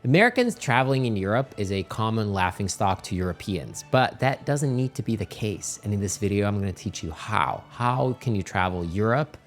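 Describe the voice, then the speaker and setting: A man's voice: on the low side, animated, fairly quick, few pauses, faint music behind. Man giving chatty travel advice. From Finland. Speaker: channel host. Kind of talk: casual talking-head travel advice.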